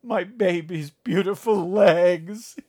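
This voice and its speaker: A man's voice: sits high, slow, warm tone, voice pushed up. A male narrator voicing a father who is overwrought and tearful. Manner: tearful, hysterical.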